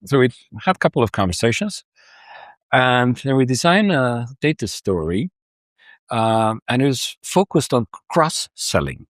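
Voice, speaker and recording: A man's voice: mid-range voice, sing-song, measured pace, dry recording. Guy talking data consulting, lots of uhs and restarts, dry close sound. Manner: hesitant, many restarts and fillers. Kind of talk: casual business conversation.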